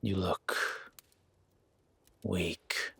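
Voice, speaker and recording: A man playing a gruff, wary but grudgingly kind werewolf in a roleplay audio drama, with a raspy, growling, half-whispered voice and terse, brooding delivery. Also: low voice, flat delivery, pushed and bright, no echo, full character voice. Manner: slow, brooding, terse commands.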